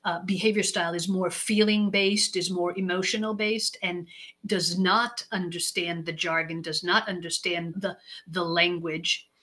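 A woman guest expert giving measured interview answers, stacking her points in lists and letting sentence ends fall soft. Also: on the low side, fairly even intonation. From United States. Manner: deliberate, stacks phrases in lists, trails off softly at ends. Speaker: guest expert on leadership and communication.

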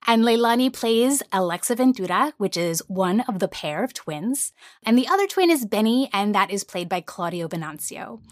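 A woman's voice: mid-range voice, lively intonation, normal speed, no room sound, clean studio sound. A woman speaking conversationally as a guest who creates audio drama, explaining her work in an interview. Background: music.

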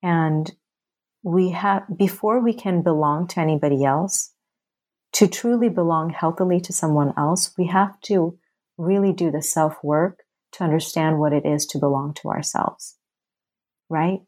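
Low-voiced earnest woman author. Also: measured pace, a little mellow. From Bangladesh. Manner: earnest, builds in rhythmic parallel phrases. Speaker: author guest. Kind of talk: reflective interview answers.

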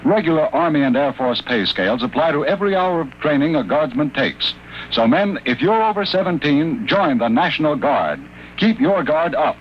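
A man's voice: on the high side, animated, moderate pace, poor audio. A man delivering an emphatic, scripted advertisement in 1950s radio announcer style. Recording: old radio recording with faint hum.

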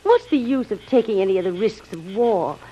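A woman's voice: mid-range voice, animated, fairly quick, breathy edge. An actress playing an anxious woman castaway in an old radio serial, pleading earnestly. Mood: fearful, pleading. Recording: muffled old radio recording.

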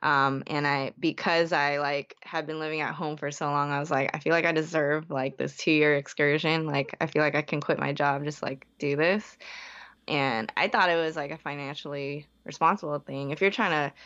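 Young woman from the Bay Area, very low voice, dull-sounding recording, chatty guest. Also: steady tone, normal speed, a bit bright. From San Francisco Bay Area. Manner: chatty, heavy use of "like", frequent self-restarts. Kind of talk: casual interview.

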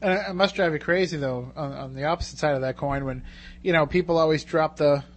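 A man hosting a radio talk show, bantering casually and cracking jokes. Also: a little high. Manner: joking, quick banter.

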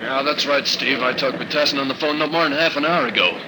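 An actor playing a breezy, dryly teasing field agent in a 1950s radio drama. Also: male voice, mid-range voice, fast talker, close to an everyday voice. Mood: breezy, informative. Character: breezy male American field agent, dryly cheerful colleague. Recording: noisy vintage radio, engine-like background.

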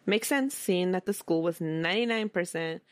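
Podcast host, woman, low register, conversational, reads quotes aloud, dry sound. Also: normal speed, no room sound. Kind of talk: casual history podcast storytelling.

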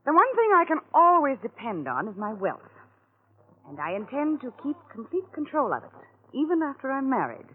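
A woman's voice: high voice, wildly swooping pitch, a little fast, slightly rough, full character voice. An actress playing a shrewd, controlling wealthy woman, frank and dryly knowing, in a 1940s radio drama. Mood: firm, frank. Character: shrewd, plain-spoken, controlling wealthy bride-to-be. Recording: tinny vintage radio recording.

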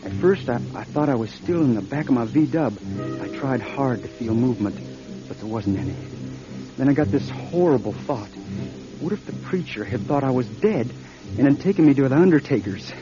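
An actor playing a scared, suspicious young man in a radio drama, speaking in an anxious, halting stammer. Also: mid-range voice, animated, close to an everyday voice. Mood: dread, halting recollection. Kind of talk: audio drama acting, first-person narration and dialogue. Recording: dull old radio drama, music behind.

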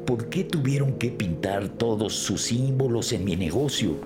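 A man's voice: medium pitch, lively intonation, measured pace, music in the background, natural voice. A man playing an upset, guarded middle-aged shop owner in an audio drama, speaking in an aggrieved, emphatic way. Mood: indignant. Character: upset, guarded adult male shop owner whose store was vandalized.

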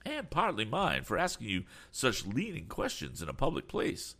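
A man voicing an eccentric, excitable older gentleman from a storybook, full of blustery exclamations and astonished questions. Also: medium pitch, normal speed, pitched above the performer's own voice, full character voice. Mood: apologetic. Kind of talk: audiobook character voice.

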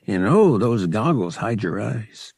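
A young male space pilot from an adventure audiobook, as a man narrates him. He is cocky but earnest, with a husky, low murmur. The delivery is soft and a little gruff, moving freely in pitch. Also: big pitch swings, unhurried, no room sound, clean studio sound, heavily acted. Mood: tender, honest.

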